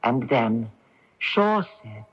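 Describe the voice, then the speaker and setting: An actress playing a polite, earnest, questioning woman with a measured, genteel manner in a radio drama. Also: rumbling low, sing-song, husky, full character voice. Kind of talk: 1980s radio drama acting. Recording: dull lo-fi 1980s AM radio recording.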